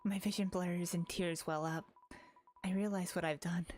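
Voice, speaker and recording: A woman's voice: fairly low pitch, steady tone, normal speed, a little mellow. A woman reading dramatic first-person narration from a visual novel as a voice-over. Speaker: voice-over reader.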